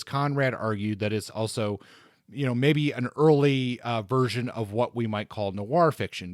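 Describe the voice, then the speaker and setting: A man's voice: medium pitch, no echo, clean studio sound. A man co-hosting a podcast, discussing books in an earnest, explanatory, conversational way. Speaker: podcast co-host.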